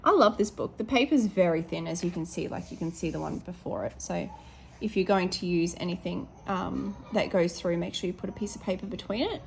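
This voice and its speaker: An Australian woman, a teacher with a slightly hoarse voice, chatting casually with lots of ums as she shows her colouring pages. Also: on the low side, brisk, slight background noise. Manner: chatty, many ums, corrects herself. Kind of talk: casual flip-through chat.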